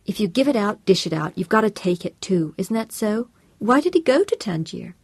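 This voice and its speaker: A woman's voice: mid-range voice, lively intonation, normal speed, darkish tone, slightly rough, no echo, voice pushed up. A woman narrating an audiobook voices an earnest, chirpy American housewife with a sing-song American accent.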